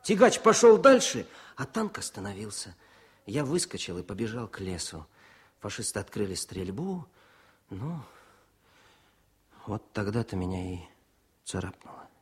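An actor playing a modest, plucky wounded war correspondent, telling his story in halting colloquial bursts with a husky voice in a 1970s Soviet radio play. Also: on the low side, sing-song, heavily acted. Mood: animated recollection.

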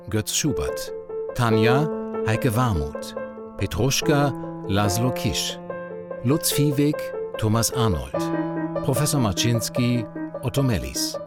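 Slow male credits announcer over piano. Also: medium pitch. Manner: slow, deliberate. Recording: voice over loud piano music.

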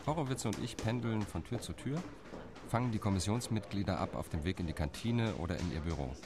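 A man's voice: fairly low pitch, animated, a little fast, music in the background. A man playing a determined partition lobbyist. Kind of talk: radio play monologue.

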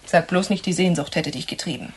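An actress playing a teasing, familiar restaurant keeper in a radio crime drama, with a playful, mocking manner. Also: female voice, fairly low pitch, a little fast, noisy background, lightly acted. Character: teasing, familiar female health-food restaurant keeper, old friend.

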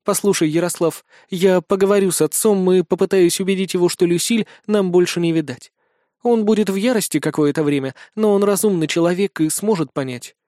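A young noblewoman from a fantasy storybook , as a male narrator plays her. The voice sits high and sounds imperious and formal, persuasive in its phrasing, with a dry recording. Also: a little fast, dark tone, dry recording, close to an everyday voice.

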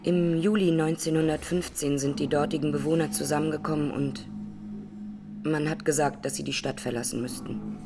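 An actress playing an Armenian woman in her twenties, a massacre survivor giving measured, sober testimony in a radio drama. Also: deep voice, steady tone, over music. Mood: grave, sober. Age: 20s.

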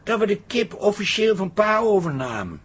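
Comic radio drama: wry, weary pub landlord, higher-pitched and lively, faint ambience behind. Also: male voice, normal speed, slightly rough, exaggerated voice. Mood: listless, weary. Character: weary, teasing married man who runs a pub.

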